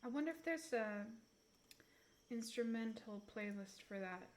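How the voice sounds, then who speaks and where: A woman's voice: fairly high pitch, animated, measured pace. A young woman reading tarot on a live stream, rambling and giggling as she chats straight to her viewers; English is not her first language.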